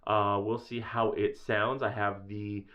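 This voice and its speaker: A man with a deep booming voice giving a chatty, enthusiastic camera gear review, recorded on a changing mix of camera, lapel and wireless mics. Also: flat delivery, slow pace, a bit bright, muffled recording. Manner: enthusiastic, chatty with many fillers. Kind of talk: casual product review. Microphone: changing mics: built-in camera mic, lapel and wireless mic.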